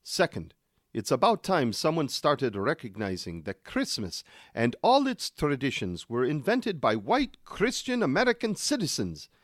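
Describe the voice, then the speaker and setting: Man playing pompous zealot, wildly swooping pitch. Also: wildly swooping pitch, unhurried, no room sound, clean studio sound. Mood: indignant, preachy. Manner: pompous, pedantic, exclamatory. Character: indignant, sanctimonious bigoted male Christmas zealot. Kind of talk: satirical radio drama acting.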